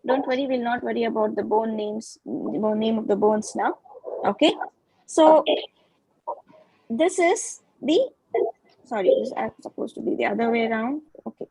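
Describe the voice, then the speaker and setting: A woman with a high, bright-pitched voice gives a lecture over a video call. She explains step by step, often pausing to ask whether the class follows. The sound is dry and close, with no room echo. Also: creaky, breathy edge. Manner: patient, checks understanding with frequent "okay" and questions. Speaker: guest lecturer in phonetics.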